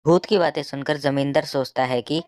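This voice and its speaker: A woman's voice: deep voice, steady tone, brisk, darkish tone, dry recording, clean studio sound. A woman narrating a scripted cartoon story.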